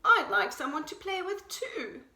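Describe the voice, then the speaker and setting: A woman as a timid, high-voiced little deer. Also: measured pace, full character voice. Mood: hopeful, friendly. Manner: sighing, anxious, expressive. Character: timid, sweet young female deer wanting a playmate. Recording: roomy echo.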